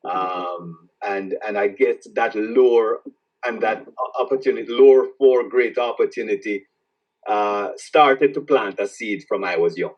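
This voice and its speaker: Jamaican man, distant muffled call audio. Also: lowish voice. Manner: halting, repeats words when starting a thought. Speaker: author guest. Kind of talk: reflective interview answers.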